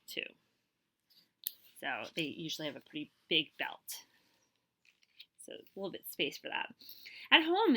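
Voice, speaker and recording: A woman librarian reading a picture book aloud to children, with expressive storytelling and explanatory asides and questions for her listeners. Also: medium pitch. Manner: expressive storytelling, pausing to check understanding with listeners.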